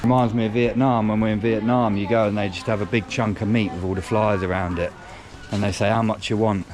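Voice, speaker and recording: A man's voice: on the low side, fairly even intonation, fairly quick. A man chatting casually in a travel vlog, rambling and restarting his sentences as he goes. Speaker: travel vlogger. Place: outdoors on busy city streets and in a tuk-tuk. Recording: lo-fi with loud street traffic noise.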